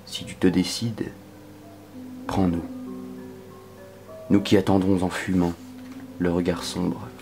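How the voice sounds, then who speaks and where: A man performing a dramatic spoken-word poetry reading in a solemn, measured manner that can sink toward a whisper. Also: sits low, flat delivery, creaky, over music. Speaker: poetry reader.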